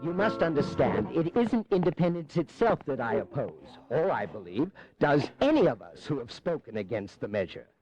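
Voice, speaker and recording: An actor playing an earnest, cautious colonial delegate who pleads formally in a historical audio drama. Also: male voice, medium pitch, very animated, moderate pace, few pauses, darkish tone, faint music behind, close to an everyday voice, poor audio. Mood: earnest, pleading.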